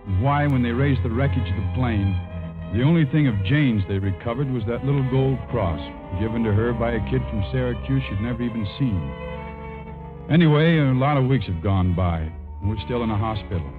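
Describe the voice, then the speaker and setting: An actor playing a sincere, plainspoken airline pilot in a 1950s radio drama. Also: male voice, medium pitch, normal speed, over music, lightly acted. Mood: somber narration. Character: earnest, lovestruck male airline co-pilot narrating. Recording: phone-like vintage radio broadcast.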